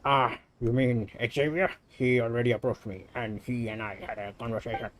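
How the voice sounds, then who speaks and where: A man's voice: medium pitch, fairly even intonation, normal speed, pitched below the performer's own voice, close to an everyday voice. An Indian man voicing a stubborn, officious camp officer from a video game, curt and dismissive. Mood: curt, evasive. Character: stubborn, dismissive military camp officer, defensive about authority.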